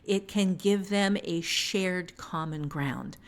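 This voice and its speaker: A woman co-hosting a podcast, giving casual writing advice in a deliberate, explanatory way with emphatic pauses. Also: fairly low pitch. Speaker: podcast co-host.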